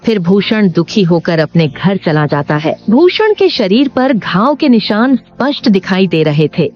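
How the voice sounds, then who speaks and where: A woman storyteller with a mid-range voice and very lively intonation, reading scripted narration for a cartoon tale. The sound is slightly muffled, with music underneath. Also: medium pitch, measured pace. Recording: dull lo-fi audio with background music.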